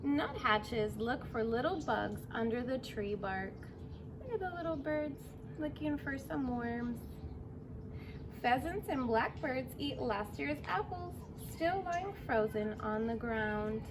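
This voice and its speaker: A woman's voice: high-pitched, lively intonation, takes it slowly. A woman in her thirties or forties reads a picture book aloud to young children, expressively, drawing out words and adding simple asides and questions. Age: 30s or 40s. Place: outdoors in a fenced backyard. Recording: outdoor recording with clear background noise.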